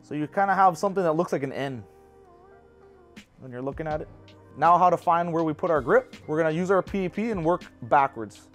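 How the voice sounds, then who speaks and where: Shop instructor, man in his 30s, lapel mic, easygoing practical delivery, light pitch. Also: fairly quick, faint music behind. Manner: relaxed, practical, personal asides. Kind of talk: casual how-to explanation. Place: bowling pro shop indoors.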